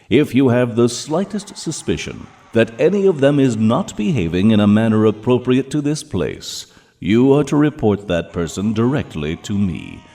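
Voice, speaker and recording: An actor playing a stern, menacing ruler of Hell in an audio drama, speaking in a measured, commanding and pompous way. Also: male voice, lowish voice, warm tone. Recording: long hall reverb.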